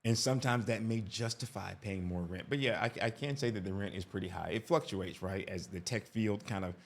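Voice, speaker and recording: A man's voice: lowish voice, brisk, gravelly, a little hiss or hum. A man giving casual reaction commentary as an expat vlogger, talkative, often tagging "right?" and restarting his sentences.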